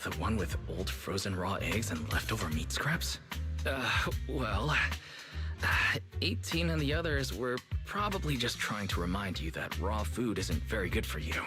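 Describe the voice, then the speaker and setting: An actor playing a tactful, level-headed young man in a video game, polite and gentle. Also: on the low side, animated, a little slow. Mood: sheepish. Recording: music under the voice. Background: music.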